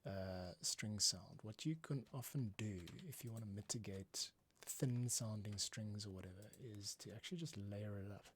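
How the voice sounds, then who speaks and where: A man hosting a casual composing livestream, thinking aloud in halting, muttered asides with music playing behind him. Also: on the low side, fairly bright tone, slight background noise. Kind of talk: casual thinking-aloud tutorial while working.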